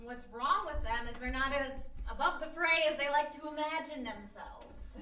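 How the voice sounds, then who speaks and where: A woman playing a sullen, sharp-witted teenage girl in a radio comedy, deadpan and dismissive. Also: high voice, moderate pace. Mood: sarcastic. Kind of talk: old-time radio comedy acting before an audience. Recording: roomy live stage, distant mic.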